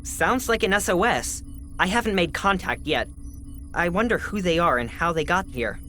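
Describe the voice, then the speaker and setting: Animated, eager science advisor. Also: female voice, fairly low pitch, normal speed, soft background music. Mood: intrigued. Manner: explanatory, eager, matter-of-fact. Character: eager, brisk science advisor giving mission briefings. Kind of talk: video game cutscene acting.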